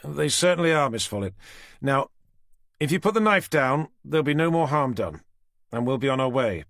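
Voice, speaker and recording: A man voicing a firm, measured male detective inspector in an audiobook. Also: medium pitch, sing-song, fairly bright tone, no echo, clean studio sound, pitched above the performer's own voice. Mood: placating. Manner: firm, placating, controlled.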